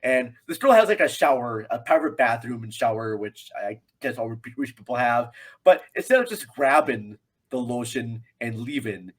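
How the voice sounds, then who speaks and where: A man's voice: mid-range voice, animated, moderate pace. Rambling, jokey man chatting about film. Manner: rambling, jokey, self-deprecating. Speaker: podcast guest, film reviewer. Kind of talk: casual film discussion.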